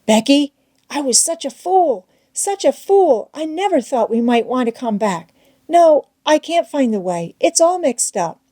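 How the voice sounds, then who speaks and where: A woman's voice: sits high, very animated, a little slow, mellow, pitched above the performer's own voice. A woman voicing an adventurous young boy in a storybook, reassuring but uneasy, with folksy wording. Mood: dismayed. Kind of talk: children's novel read aloud.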